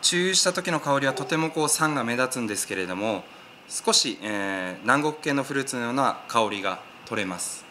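Young man, quick polite speech. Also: mid-range voice, lively intonation, background noise. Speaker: barista. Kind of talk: interview. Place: coffee shop. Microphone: handheld reporter mic.

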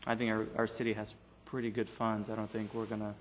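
A man's voice: fairly low pitch, completely flat delivery, fast, slight background noise. A man on a city council arguing his point in a meeting, hesitant and plainspoken, often repeating words, in a council chamber.

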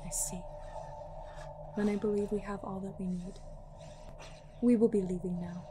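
A woman's voice: medium pitch, steady tone, normal speed, over music, natural voice. A woman playing a commanding, composed interrogator in an audio drama, speaking formally in stern, clipped phrases. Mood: composed resolve. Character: commanding, composed female interrogator with mind powers.

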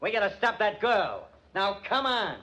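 A bullying, impatient police detective in a 1940s radio drama. His voice is high and very animated, with brusque, slangy talk. The old recording has a faint hiss. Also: normal speed, heavily acted. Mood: forceful, angry. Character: gruff, bullying, impatient police detective who hates music.

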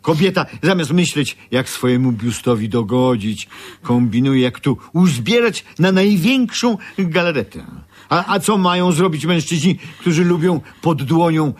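An actor playing an aggrieved, stubborn old-fashioned tailor in a radio play, with rambling complaints and stammered repeats. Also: male voice, a little high, animated, measured pace. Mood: indignant grumbling. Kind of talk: Polish radio play acting.

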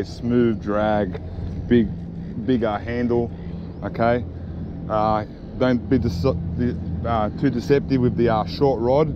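A middle-aged man chatting casually about his fishing gear, filling his talk with "okay" and "all right," recorded on an action camera on a small boat. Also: medium pitch. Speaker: fishing vlogger. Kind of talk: casual commentary while fishing. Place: small boat on a harbour, outdoors. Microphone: action camera on the angler. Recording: dull action-camera audio with wind noise. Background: wind and water noise.

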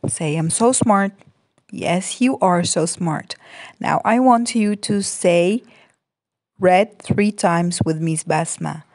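Slow-talking woman teaching small children. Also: on the low side, a little mellow, no room sound. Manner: simple, repetitive, encouraging. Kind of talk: puppet-show lesson for small children. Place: indoor room by a curtained window.

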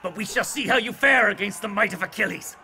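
A man playing a scornful, wary Greek commander in a video game, forceful and taunting. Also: high-pitched, fast talker, vocal fry, slight background noise, full character voice. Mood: challenging. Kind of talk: dramatic video game acting.

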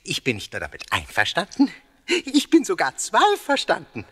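An actor voicing a cheeky, boastful little ghost in a children's audio play, husky and exuberant. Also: male voice, high-pitched, wildly swooping pitch, normal speed, full character voice. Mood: eager, playful. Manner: exuberant, swooping, self-important.

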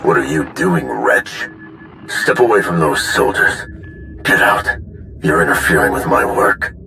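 A man's voice: rumbling low, lively intonation, unhurried, sharp tone, a little hiss or hum, exaggerated voice, poor audio. An actor playing an arrogant, cruel villain in a video game, haughty and menacing in his delivery. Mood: contemptuous, menacing. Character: arrogant, cruel male villain tinkering with droids. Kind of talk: video game dramatic acting.

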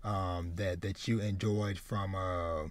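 A man in his thirties hosting a casual anime podcast, speaking haltingly and often repeating words as he goes. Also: low-pitched, level tone, a crowd in the background. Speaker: podcast host. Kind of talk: casual chat about anime. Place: small room with bookshelves, indoors, on a video call.

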